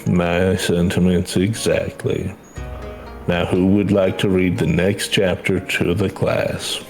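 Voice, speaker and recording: A man reading game dialogue as an earnest older schoolteacher, in a measured, formal storytelling manner. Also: sits low, level tone, darkish tone. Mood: solemn, proud. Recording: music under the voice.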